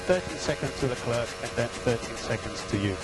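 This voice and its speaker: Calm male race engineer on radio. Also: medium pitch, fairly quick. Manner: steady stream of gaps, times and instructions. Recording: team radio with music behind.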